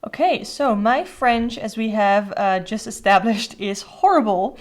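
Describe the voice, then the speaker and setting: A woman's voice: medium pitch, animated, measured pace, soft background music. A woman with a Dutch accent, a native Dutch speaker who talks in English as a tarot host. She chats casually and rambles with fillers and restarts while showing a deck. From Netherlands. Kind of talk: casual deck flip-through.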